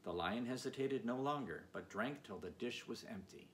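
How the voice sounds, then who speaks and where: A man's voice: mid-range voice, animated, moderate pace. A man reading a children's storybook aloud as narrator. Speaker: audiobook reader. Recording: clear background noise.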